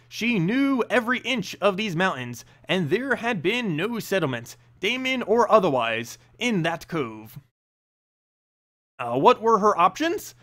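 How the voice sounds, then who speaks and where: A man's voice: sits high, fairly bright tone. A man reading a fantasy story aloud as a narrator, with dramatic delivery and occasional hesitant stumbles. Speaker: reader of fantasy stories, channel host.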